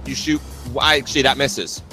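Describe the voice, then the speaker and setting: Bright-voiced man running a tabletop game. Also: medium pitch, fairly even intonation, moderate pace, faint music behind. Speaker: game master. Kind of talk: improvised narration of a tabletop zombie roleplay.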